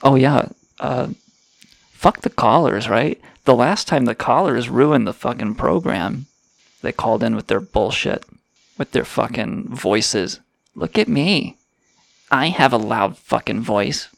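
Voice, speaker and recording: A man's voice: mid-range voice, measured pace, creaky, dry recording. A man hosting a crude comedy radio show, sarcastic and rambling, swearing freely. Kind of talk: irreverent comedic banter.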